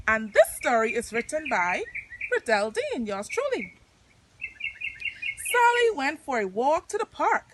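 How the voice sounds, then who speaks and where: High-pitched, animated woman telling children's stories. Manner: addresses children directly, expressive. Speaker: children's story time host and storyteller. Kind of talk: storytelling read aloud for children. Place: outdoors in nature. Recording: outdoor recording with clear birdsong noise. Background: chirping birds.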